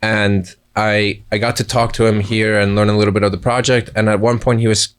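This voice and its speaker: Man, low voice, flat delivery, interview guest, rambling, self-correcting. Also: brisk, clean tone. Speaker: film crew member, first camera assistant. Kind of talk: casual interview answers.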